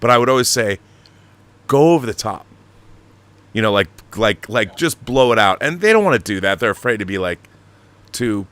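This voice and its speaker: A man hosting a casual interview, excitable and emphatic, often repeating words as he gets a question out. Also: lowish voice, moderate pace, long pauses, clear, resonant tone. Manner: excitable, stammering repetitions, emphatic. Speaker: podcast host, audio drama director. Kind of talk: casual animated interview chat.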